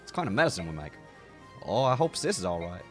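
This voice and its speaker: A man's voice: deep voice, very animated, fast, fairly bright tone, faint music behind. A man voicing a concerned, helpful villager in a video game. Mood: worried, kind. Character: concerned, helpful villager offering homemade medicine. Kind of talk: video game character dub.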